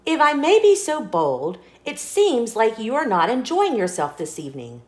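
A woman's voice: a little high, huge pitch swings, a little slow, darkish tone, roomy sound. A woman voicing a polite, wise, cheerful little pumpkin seed in a children's storybook, courteous and earnestly advising. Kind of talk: children's storybook read-aloud.

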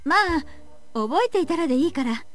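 A woman playing a calm, caring young female researcher in a visual novel game. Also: high-pitched, normal speed, soft background music. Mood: gentle. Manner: soft-spoken, polite. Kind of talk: Japanese visual novel voice acting.

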